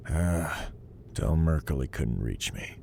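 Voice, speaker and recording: Man acting as a deep gravelly weary detective. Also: expressive, takes it slowly, warm tone, slight background noise, full character voice. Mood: irritated. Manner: dry, sardonic. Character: weary, gruff police detective, devoted husband. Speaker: voice actor. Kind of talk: audio drama acting and first-person narration.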